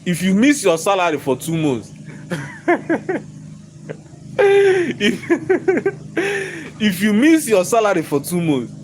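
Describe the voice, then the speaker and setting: A man, hoarse from losing his voice, ranting in a vlog from inside his own shop. He repeats himself emphatically, stumbles over his words and keeps breaking into laughter. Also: high voice, wildly swooping pitch, slow pace, long pauses, slight background noise. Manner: repetitive, emphatic, stammering restarts, bursts of laughter. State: hoarse, lost voice. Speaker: shop owner vlogger.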